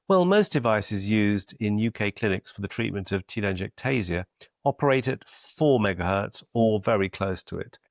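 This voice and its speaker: A man giving a measured, methodical educational lecture on vein treatment devices. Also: fairly low pitch, creaky, no echo, clean studio sound.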